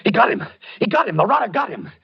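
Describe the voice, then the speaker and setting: A tormented husband from a vintage radio thriller, agitated and obsessive. His voice is rough-edged and leaps up and down as he halts and repeats himself. The old recording is dull and muffled. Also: high-pitched, very animated, fast, dry recording, heavily acted. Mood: feverish, bitter. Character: tormented husband haunted by dreams of a duel. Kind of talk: old-time radio drama acting.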